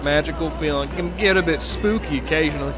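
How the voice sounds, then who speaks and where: A man playing a folksy trucker in improvised CB roleplay, rambling and halting. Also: a little high, lightly acted. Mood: folksy, animated. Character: folksy male trucker telling tall road tales.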